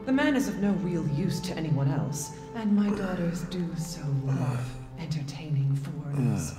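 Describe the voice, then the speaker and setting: A woman's voice: deep voice, animated, moderate pace, over music. A woman playing a haughty, towering aristocratic vampire matriarch in a video game, with theatrical, condescending diction. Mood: haughty disdain. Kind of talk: video game cutscene acting.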